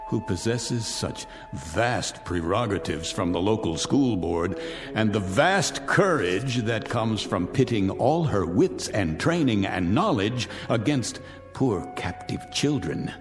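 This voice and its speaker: An actor playing a vengeful middle-aged millionaire in a radio drama, pompous, sardonic and articulate. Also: male voice, fairly low pitch, lively intonation, takes it slowly. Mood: smug sarcasm. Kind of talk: classic radio drama acting. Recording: reverberant hall, music underneath.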